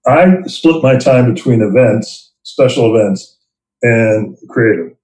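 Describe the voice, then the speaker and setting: A middle-aged man from New Jersey speaks at a mid pitch, telling his stories in a loose, rambling way. He laughs often and pokes fun at himself. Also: expressive, takes it slowly, darkish tone, low-quality recording. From Princeton, New Jersey, US. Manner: rambling, chuckling, self-deprecating. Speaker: interview guest, theme park event writer and director. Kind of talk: casual storytelling interview.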